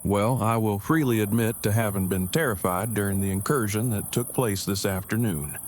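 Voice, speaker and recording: A man's voice: lowish voice, normal speed, slight background noise, lightly acted. A man acting an awkward, earnest survivor in an audio drama, speaking in formal, wordy, stilted phrases. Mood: composed. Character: awkward, earnest, verbose male survivor in a zombie apocalypse.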